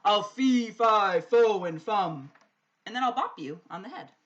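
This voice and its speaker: A woman voicing a loud, grumpy male giant in a storybook, roaring and growling with drawn-out threats. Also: mid-range voice, expressive, muffled recording, close to an everyday voice. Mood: menacing roar. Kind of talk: storybook character voice in a read-aloud.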